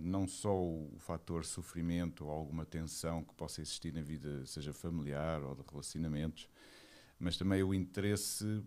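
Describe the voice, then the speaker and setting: A man's voice: low-pitched, darkish tone. A man in his forties with a Lisbon Portuguese accent, a monk giving a reflective, hesitant personal account as he searches for words. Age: 40s. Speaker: Buddhist monk, interview guest.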